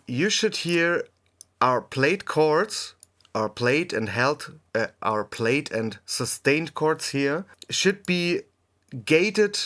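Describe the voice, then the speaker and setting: A man who is a native German speaker, hosting a music production tutorial in English, unscripted and halting as he thinks aloud while demonstrating. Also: medium pitch, fairly bright tone. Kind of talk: unscripted software tutorial narration.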